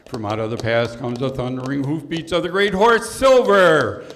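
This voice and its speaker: A man's voice: medium pitch, normal speed, fairly bright tone, close to an everyday voice. A man playing a dramatic, declamatory announcer narrating a 1950s-style Western radio serial. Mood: rousing.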